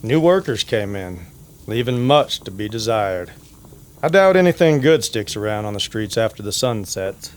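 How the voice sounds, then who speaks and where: A man playing a hesitant, worried small-town barber in an old-time Western radio drama, talking in a halting, confiding way. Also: on the low side, animated, clear, resonant tone, close to an everyday voice. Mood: grim, confiding.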